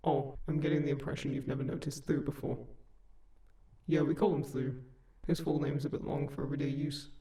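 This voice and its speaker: A man playing a kind sleep paralysis demon in a comfort audio roleplay, speaking gently and reassuringly in an unhurried, gravelly voice. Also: a little high. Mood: casual, fond. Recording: roomy, echoing, slightly distant voice.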